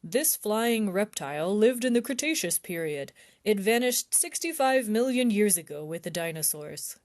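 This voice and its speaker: A woman's voice: medium pitch, lively intonation, moderate pace, darkish tone, close to an everyday voice. Woman doing a wary, skeptical young boy. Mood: puzzled, studious. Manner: doubtful, warning, exasperated. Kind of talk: children's storybook character reading.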